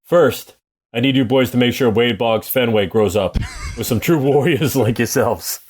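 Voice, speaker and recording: A man acts out a no-nonsense army captain for a comedy radio play. His mid-range voice is gruff, plain and used to command. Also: mid-range voice, moderate pace, a little mellow, lightly acted. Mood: resigned. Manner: matter-of-fact, commanding. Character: gruff, practical male army captain named Fenway.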